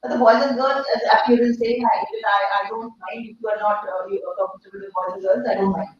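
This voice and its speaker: A middle-aged Indian woman speaks in an animated, hoarse voice. She quizzes her audience as she goes. Her voice reaches the mic from well off, in a large hall full of echo, with a dull, muffled sound. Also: a little high, fast talker, slight background noise. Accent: Indian English. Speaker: speaker addressing teachers. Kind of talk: interactive conference talk. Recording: echoey hall from far off, dull.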